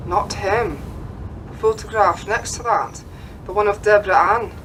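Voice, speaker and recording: A woman plays a sharp-eyed junior policewoman in a radio drama. She is deferential and slightly tentative, medium in pitch, with clear noise around the voice. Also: unhurried, natural voice. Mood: respectful, alert. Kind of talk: radio play acting. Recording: noisy background ambience.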